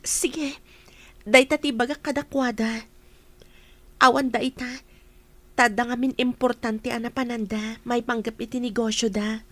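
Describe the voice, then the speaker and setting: A woman acting a gentle young sweetheart in an Ilocano radio drama. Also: on the high side, steady tone, measured pace, long pauses, no room sound. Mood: affectionate, chatty.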